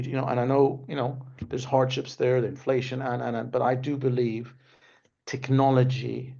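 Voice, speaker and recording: A man's voice: mid-range voice, vocal fry, warm tone, dull audio. A man raised in Denmark, speaking as a guest on a webinar in a conversational way, often tagging his points with "right?" and restarting his phrases. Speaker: guest speaker, tech executive. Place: remote video call. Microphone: video call microphone.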